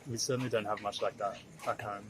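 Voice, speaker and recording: A man from Australia, a tourist in a street interview, relaxed and easygoing with short answers. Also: on the low side, fast, noisy background.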